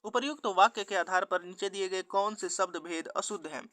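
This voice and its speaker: A man with a high, bright voice narrates a mock test as a teacher. His sound is crisp and dry, and he moves methodically from each question to its answer in a set pattern. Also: a little fast, no echo. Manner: methodical, formulaic question-then-answer delivery. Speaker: exam-prep teacher reading quiz questions and answers.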